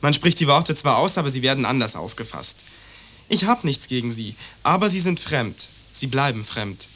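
A man's voice: medium pitch, animated, normal speed, pushed and bright, slight background noise. Condescending young man. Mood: placating. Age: young adult. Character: young man, obtuse, prejudiced, patronising lover. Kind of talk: German radio play dialogue.

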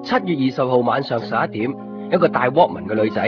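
Introspective copy-shop man played by a male actor, radio drama monologue, expressive pitch, dulled 1990s recording. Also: fast, lo-fi sound, close to an everyday voice. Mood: reflective. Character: observant, introspective copy-shop man who collects copies. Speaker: drama narrator and lead. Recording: band-limited 1990s radio recording, music behind. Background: clear background music.

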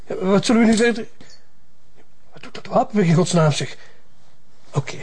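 High-pitched, panicky older Flemish lawyer. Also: male voice, expressive, normal speed, darkish tone, husky. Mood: alarmed. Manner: stammering, flustered. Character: frightened, pleading older male lawyer at gunpoint. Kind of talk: Flemish television crime drama acting.

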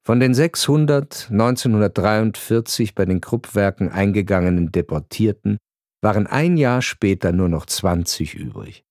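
A man reading a scripted radio narration in a grave, measured storytelling manner. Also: on the low side, animated, a little mellow, dry recording, clean studio sound.

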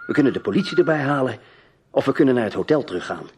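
Animated, commanding leading man, as acted. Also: mid-range voice, a little hiss or hum, close to an everyday voice. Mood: grave, controlled. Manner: commanding, deliberate. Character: brisk, self-controlled newspaperman taking charge. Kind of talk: radio drama acting.

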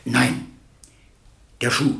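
An actor playing a plain-spoken railway crossing keeper giving blunt testimony in a German radio crime drama. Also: male voice, very slow, fairly bright tone. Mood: certain, blunt. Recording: roomy, echoing radio drama studio.

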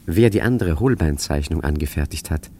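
An actor playing a suave, cunning gentleman adventurer in a German radio play, urbane, wry and smoothly condescending as he recounts his exploits. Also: very deep voice, animated, brisk, full character voice. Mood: wry, reminiscing.

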